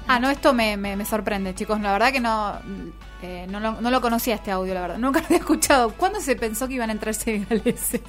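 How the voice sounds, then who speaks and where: A woman's voice: a little high, quick pace, soft background music. A woman with an Argentine accent hosting a radio show, chatting casually about politics. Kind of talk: casual political commentary chat.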